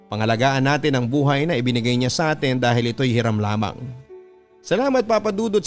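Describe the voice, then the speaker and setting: A man with a Filipino accent, a radio host reading listener letters as earnest, scripted first-person storytelling. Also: mid-range voice. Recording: music bed under the voice. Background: background music.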